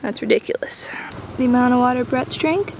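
A woman's voice: fairly high pitch, brisk, darkish tone. A young woman chatting casually and playfully as she films a travel vlog on a handheld camera, cooing as she talks. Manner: playful, coos at animals and children. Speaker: travel vlogger. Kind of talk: casual chat while filming a hike. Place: outdoors on mountain village roads and paths. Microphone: handheld camera microphone. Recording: noisy outdoor handheld camera audio.

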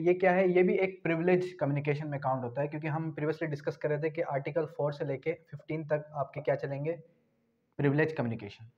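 A man's voice: a little high, a little mellow. A man in his twenties with a Pakistani accent gives an explanatory law lesson, posing questions and answering them himself. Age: 20s. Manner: didactic, poses questions and answers them, repeats key points. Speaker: law lecturer. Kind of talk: explanatory lesson at a whiteboard, switching into English legal terms. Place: small indoor room with whiteboard. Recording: dull, lo-fi sound.

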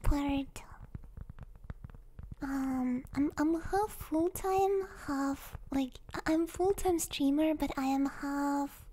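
A young woman, a native Russian speaker, chatting softly and hesitantly in a gentle, playful ASMR livestream style, often dropping into a whisper, close on the microphone. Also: high voice, slow, slight background noise. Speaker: ASMR streamer. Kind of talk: soft ASMR livestream chat. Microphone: close binaural microphone.

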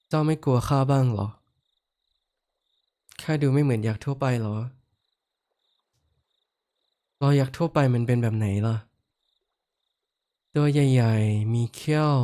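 A kindly horned giant lord, voiced by a man in a roleplay audio drama, talking straight to the listener. His voice sits in an ordinary middle pitch, mellow and warm, never loud. He soothes gently and slips into light, playful teasing. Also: lightly acted. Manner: soft-spoken, comforting, playfully teasing.

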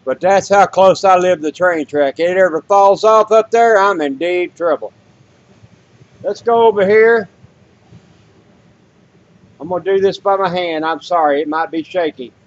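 A man's voice: sits high, unhurried, pauses often. An older man, rambling folksy about groceries and canning in a casual home vlog, with many fillers. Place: small home room indoors. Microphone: webcam or handheld camera. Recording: dull lo-fi home camera audio.

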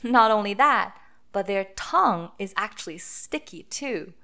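Slow-paced woman reading to children. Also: medium pitch, animated, creaky, pushed and bright. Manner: deliberate, sounding out letters and words for children. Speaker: host of children's read-aloud phonics lesson. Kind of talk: children's picture book read-aloud with phonics teaching.

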